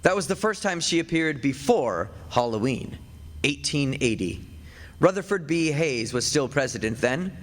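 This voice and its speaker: A man's voice: medium pitch, lively intonation, moderate pace, lightly acted. An actor playing a weary, ageless man married to a witch in a radio play, wry and reflective, at times sheepish and evasive. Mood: wry, reminiscing. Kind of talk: radio play acting with first-person narration. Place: on stage. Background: music.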